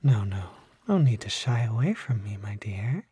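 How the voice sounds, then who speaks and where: A man voicing a charming, unsettling kelpie in an ASMR roleplay, soft and often whispering, coaxing and flattering with teasing false modesty, close to the microphone. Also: lowish voice, moderate pace, breathy edge, no room sound, full character voice. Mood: seductive, intimate. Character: charming, unsettling male kelpie, smooth-talking seductive lurer. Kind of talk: ASMR roleplay, intimate one-sided acted dialogue.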